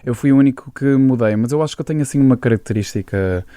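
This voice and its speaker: A man's voice: medium pitch, clean tone, no echo. A young adult man from Brazil with a European Portuguese accent, a singer chatting casually as a radio interview guest. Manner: relaxed, quick to laugh, chatty with restarts.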